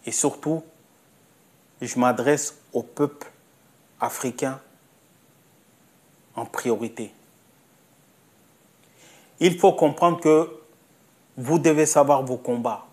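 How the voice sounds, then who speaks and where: A man's voice: medium pitch, slow pace, long pauses, a little mellow. A man delivering a spoken opinion monologue, insistent, repeating himself and asking rhetorical questions. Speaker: commentator.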